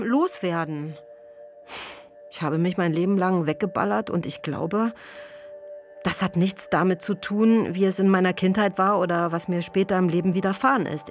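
A woman's voice: medium pitch, natural voice. A woman playing a world-weary, reflective actress in a confessional radio-play monologue.

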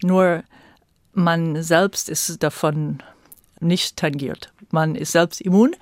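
A woman's voice: sits low, fairly even intonation, slow pace, dry recording, studio quality. A middle-aged woman from the United States, a native English speaker with an American accent, reminiscing conversationally as an interview guest. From Virginia, United States.

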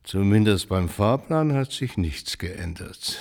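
A man's voice: lowish voice, lively intonation, slow pace, vocal fry, a little mellow, heavily acted. An actor playing a dry, needling small-town railwayman in a radio play, talking wryly. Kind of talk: radio drama dialogue.

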